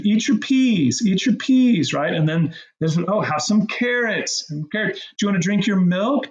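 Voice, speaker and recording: A middle-aged man in his forties, a guest co-founder talking casually in an interview, rambling and animated with many restarts. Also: fairly high pitch, gravelly, roomy sound, lo-fi sound. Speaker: guest, co-founder of a language app.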